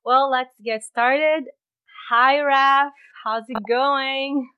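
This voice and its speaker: A woman hosting a podcast interview in her home office, cheerful and quick to laugh. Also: high voice, fairly even intonation, lo-fi sound. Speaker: podcast host.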